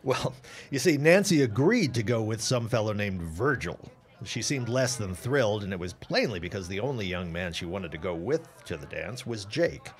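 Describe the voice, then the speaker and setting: An actor playing a genial, chatty gentleman guest in a period audio drama, wordy and polite. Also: fairly low pitch, animated, normal speed, close to an everyday voice. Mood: amused.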